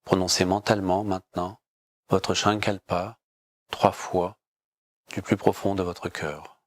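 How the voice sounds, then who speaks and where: Male meditation guide, lowish register, calm and measured instructional delivery, dry recording. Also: dry recording. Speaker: yoga nidra teacher.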